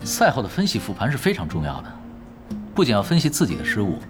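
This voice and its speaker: An actor playing a laid-back young esports expert in an audio drama, casual, patient and teasing, explaining in an analytical way. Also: male voice, on the low side, wildly swooping pitch, fast, over music.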